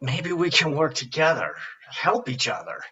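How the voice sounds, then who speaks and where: A man playing an anxious, conciliatory survivor in a horror script reading, with a raspy, hesitant voice. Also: on the high side, sing-song, moderate pace, bright, forceful tone, heavily acted. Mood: anxious, pleading. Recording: dull, distant microphone.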